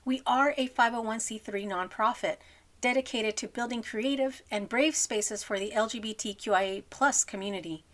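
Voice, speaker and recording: A woman's voice: a little high, normal speed. A woman, a foundation director, reading a scripted thank-you and donation appeal. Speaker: foundation executive director giving an announcement. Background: music.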